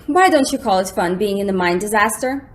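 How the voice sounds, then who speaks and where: A woman's voice: medium pitch, animated, brisk, slight background noise, close to an everyday voice. A woman playing an impressionable, anxious young lady in a radio drama, with a plaintive, dramatic way of speaking. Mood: tentatively excited. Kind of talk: stage-like radio drama acting.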